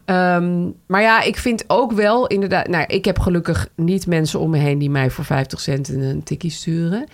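A woman's voice: lowish voice, normal speed. A woman talking casually as a podcast co-host, emphatic about her opinions. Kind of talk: casual conversational chat.

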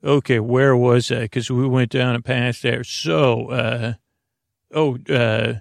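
A man with a creaky voice hosting a sleep podcast, delivering a halting, meandering improvised comic monologue that keeps interrupting itself and drifting off on tangents. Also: mid-range voice, fairly even intonation, dry recording, studio quality.

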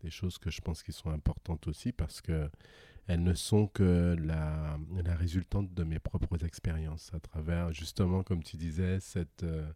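A man's voice: low voice, little pitch movement, measured pace, dark tone, no room sound, clean studio sound. A middle-aged man from Guadeloupe, a guest in a casual interview, talking hesitantly with many restarts and easy laughter.

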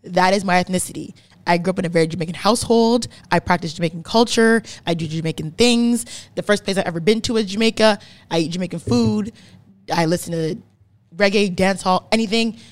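A woman's voice: fairly low pitch, a little fast. A woman in her twenties from Canada, hosting a podcast in casual, opinionated talk, emphatic and swearing freely as she repeats words for stress. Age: 20s. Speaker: podcast host. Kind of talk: casual chat, opinionated explaining.

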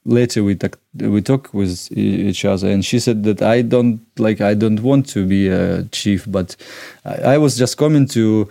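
Low, mellow Ukrainian man, halting English. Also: gravelly. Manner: halting, searching for words in non-native English. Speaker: podcast guest. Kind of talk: conversational interview answers.

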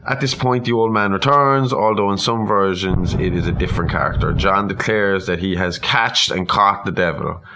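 A man's voice: sits low, moderate pace, hardly any pauses, pushed and bright. A man hosting a casual chat, retelling a story in a rambling, irreverent way with humorous asides and some swearing. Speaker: podcast host.

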